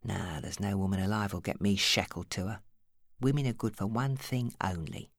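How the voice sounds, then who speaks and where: A woman's voice: extremely low pitch, moderate pace, no room sound, voice pushed down. A menacing club boss, acted by a woman reading an audiobook. He sneers with smug contempt and hisses when crossed. The recording is close and dry. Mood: self-pitying, contemptuous. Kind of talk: audiobook character acting.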